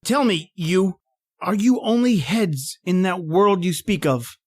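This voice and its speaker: A man's voice: high voice, slow, dry recording, clean studio sound. A man playing an awestruck, insistently curious man in an old-time radio horror drama, stammering with urgency. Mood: fascinated awe.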